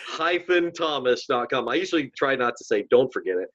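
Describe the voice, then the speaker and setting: A man from Texas, a coach and podcast guest, speaking with a warm, genuine, measured delivery. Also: mid-range voice, big pitch swings, muffled recording. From from the Rio Grande Valley, Texas. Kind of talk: interview conversation.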